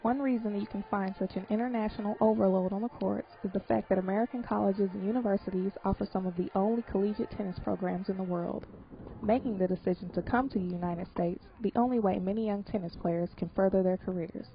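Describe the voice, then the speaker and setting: A woman's voice: mid-range voice, vocal fry. Woman reading a sports feature voice-over. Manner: even, measured news read. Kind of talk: scripted voice-over narration. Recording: slightly dull, faint hiss.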